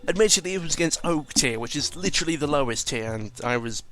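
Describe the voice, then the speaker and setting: A man's voice: on the high side, lively intonation, fast, a bit bright, soft background music. A man giving casual, rambling game commentary, informal and sweary, often correcting himself as he goes. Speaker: gaming video commentator.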